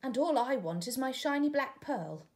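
A woman voicing a gentle young female caterpillar in a storybook, high and emotional. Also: lively intonation, normal speed. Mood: reproachful. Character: tender young female caterpillar, hurt and heartbroken.

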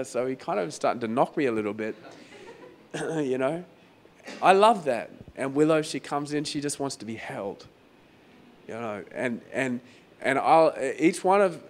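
A man preaching a sermon as pastor in a croaky, hoarse voice, talking conversationally and repeating phrases for emphasis. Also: medium pitch, slight background noise. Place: venue hall during a church service.

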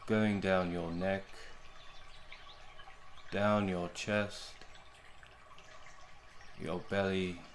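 Male meditation guide, gentle and even-toned, very slow delivery, faint background noise with water sounds. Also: low voice. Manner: gentle, unhurried, with long pauses between short phrases. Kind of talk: guided meditation, soothing narration.

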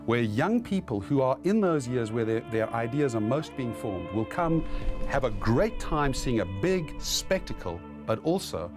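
A man's voice: mid-range voice, sing-song, a little slow, music in the background. A middle-aged man, a film director, speaking in a documentary interview. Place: indoor interview setup.

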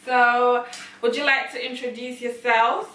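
A young woman from Ghana hosting a casual chat with friends, chatty and quick to laugh. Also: a little high, fairly even intonation, bright, forceful tone, slight background noise. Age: young adult. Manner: giggly, chatty, often breaking into laughter. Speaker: channel host. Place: small room, friends on a sofa. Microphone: far camera. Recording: echoey room from a distance.

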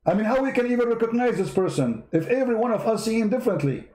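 A man's voice: very high-pitched, animated, quick pace, dark tone, echoey room, low-quality recording. A man whose first language is not English, mocking a played clip in sarcastic commentary. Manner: sarcastic, mocking, repeats words. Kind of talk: mocking commentary over clips.

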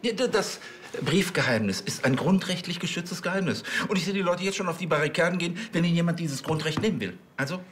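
Pedantic, exasperated station chief, crime comedy, highish voice, faint ambience behind. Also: male voice, expressive, quick pace, fairly bright tone, a little hiss or hum. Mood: officious. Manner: officious, sarcastic, tags sentences with hm.

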